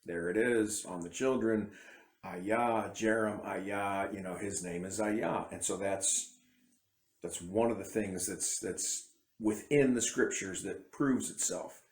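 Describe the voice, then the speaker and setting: Slow, rambling man in an echoey room. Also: lowish voice. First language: English. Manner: rambling, self-interrupting, conversational. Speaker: independent bible teacher. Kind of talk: casual scripture commentary to camera.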